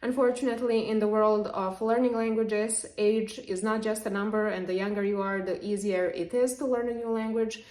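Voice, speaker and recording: A young woman from Serbia, a native Serbian speaker, explaining language learning to camera in a lively, chatty way. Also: mid-range voice, moderate pace, few pauses. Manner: lively, explanatory, with frequent fillers. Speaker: language vlogger. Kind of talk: casual talk to camera. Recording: echoey room.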